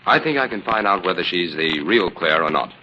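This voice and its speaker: Deep, punchy radio detective. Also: male voice, lively intonation, brisk, bright, forceful tone, full character voice. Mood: earnest, confident. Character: shrewd, genial gentleman detective. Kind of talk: 1940s radio acting. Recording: dull, hissy old radio recording.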